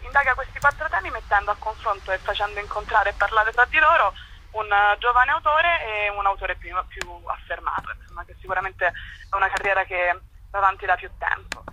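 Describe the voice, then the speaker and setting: A woman's voice: mid-range voice, fairly quick, pushed and bright, slight background noise, low-quality recording. A young woman speaking conversationally as a radio interview guest, explaining things while searching for words. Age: young adult. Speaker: cultural association spokesperson, interview guest.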